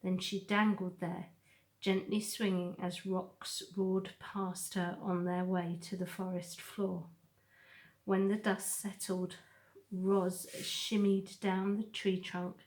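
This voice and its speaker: A woman narrating a children's novel aloud, with measured and expressive storytelling. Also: mid-range voice. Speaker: storybook reader.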